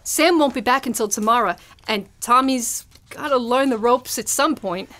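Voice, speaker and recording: A woman playing a breezy, persuasive studio staffer in a live old-time radio drama, brisk and chatty. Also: a little high, a bit bright, close to an everyday voice. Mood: helpful.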